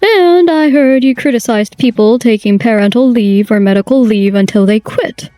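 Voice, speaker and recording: An actress playing a calm, authoritative company chairwoman in a manga dub. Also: fairly high pitch, normal speed, dry recording, soft background music, close to an everyday voice. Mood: stern. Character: stern, composed female company chairwoman.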